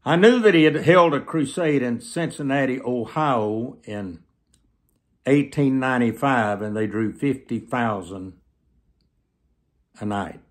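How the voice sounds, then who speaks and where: A man in his seventies preaching through personal stories, speaking earnestly to camera with emphatic, drawn-out phrases. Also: medium pitch. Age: 70s. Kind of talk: sermon storytelling told to camera. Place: small plain indoor room, seated.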